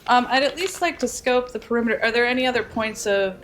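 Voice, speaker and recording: Woman gamer chatting haltingly over music. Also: fairly high pitch, animated, fairly bright tone, reverberant. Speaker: tabletop game player. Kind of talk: casual table chat. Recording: music bed under voice. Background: background music.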